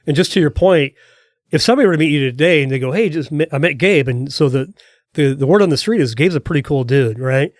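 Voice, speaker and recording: Higher-voiced male podcast host, affirming. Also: fast, no room sound, clean studio sound. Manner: affirming, encouraging. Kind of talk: conversational interview.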